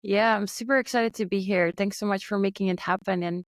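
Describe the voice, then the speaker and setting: A woman's voice: medium pitch, fairly even intonation, brisk, no echo, clean studio sound. Friendly woman telling her story. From Netherlands. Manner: friendly, storytelling, light laughs. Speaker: podcast guest, entrepreneur. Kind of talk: casual interview conversation. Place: Bali, remote call.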